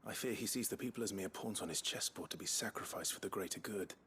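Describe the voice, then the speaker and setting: An actor playing a grave, formal fantasy hero in a video game, speaking in a measured, understated way. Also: male voice, on the low side, a bit bright, a little hiss or hum, lightly acted. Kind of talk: scripted game dialogue.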